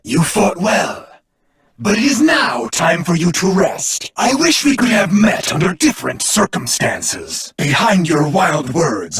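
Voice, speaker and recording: High raspy anime warrior dude, noble n solemn, formal dramatic card game lines. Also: male voice, a bit bright, heavily acted. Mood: earnest, solemn. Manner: formal, earnest, dramatic declarations. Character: noble, solemn Barian warrior man, loyal protector of his world.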